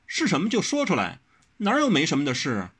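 A man's voice: high-pitched, wildly swooping pitch, normal speed, fairly bright tone, slightly rough, voice pushed up, exaggerated voice. A man voicing a headstrong, pouty young swordswoman in an audiobook, whiny and insistent. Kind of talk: audiobook character reading.

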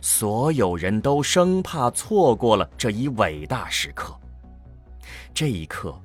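Male narrator, unhurried, animated intonation, dramatic scripted storytelling, soft music bed. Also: fairly low pitch.

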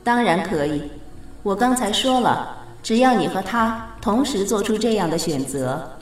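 A heavily echoing goddess of fate played by a woman speaks with calm authority and very varied intonation. She explains patiently, with faint music behind her in a radio drama. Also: mid-range voice, recorded from a distance, lightly acted. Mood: assured. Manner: measured, explanatory, gently authoritative. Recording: very echoey reverberant studio effect.

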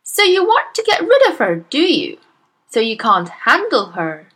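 A woman voicing a huge, bullying female headmistress who barks and shouts with scorn. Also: sits high, wildly swooping pitch, a little slow, pitched above the performer's own voice, full character voice, low-quality recording. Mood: bellowing scorn.

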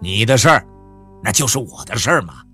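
A man playing a gruff scolding husband. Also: on the low side, big pitch swings, fairly quick, pushed and bright, soft background music, heavily acted. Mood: reassuring. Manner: scolding, brusque. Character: gruff middle-aged husband, bossy and scolding. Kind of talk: radio novel reading.

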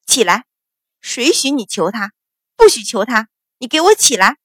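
A woman's voice: fairly high pitch, sing-song, a little slow, slightly rough, dry recording, clean studio sound, heavily acted. A woman voicing a furious, spoiled young concubine in an audiobook drama, screaming with defiance. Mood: shrill, furious.

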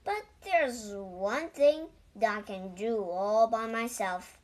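A woman's voice: fairly high pitch, animated, slow pace, darkish tone. A woman voicing an anxious, stubborn, grumbling male toad in a children's storybook. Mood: grumpy.

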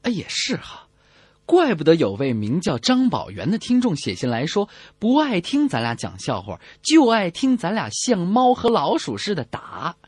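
Animated, husky female radio comedy host. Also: lowish voice, moderate pace, no room sound. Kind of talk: scripted comic banter between radio hosts.

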